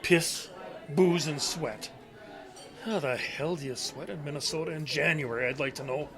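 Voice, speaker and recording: An actor playing a cranky, defensive elderly man with failing memory in an audio drama, gruff, muttering and curt. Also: a little high, normal speed, a crowd in the background, natural voice. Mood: gruff, irritated. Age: older.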